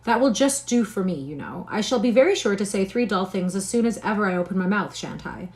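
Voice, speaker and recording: A woman's voice: mid-range voice, lively intonation, fairly quick, lightly acted. A woman voicing a talkative, kindly spinster who chatters eagerly. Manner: chattering, good-humoured.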